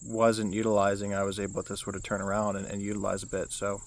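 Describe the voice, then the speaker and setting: A young man with a low baritone voice, giving a casual self-help vlog outdoors, rambling with many restarts and fillers. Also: completely flat delivery, quick pace, slight background noise. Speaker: vlogger.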